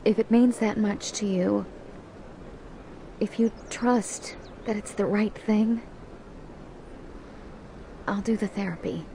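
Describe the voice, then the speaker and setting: A woman's voice: mid-range voice, steady tone, slow pace, gravelly, pauses often, mellow, slight background noise, rough recording. A woman playing a stubborn, defensive pregnant widow in a video game drama. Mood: softened.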